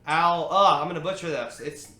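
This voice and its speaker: A man hosts a casual podcast, pausing often and filling gaps with filler sounds. His voice is bright and a little high. The room around him gives a noticeable echo. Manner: halting, many filler sounds. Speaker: podcast host. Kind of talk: casual chat. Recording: roomy echo, faint noise.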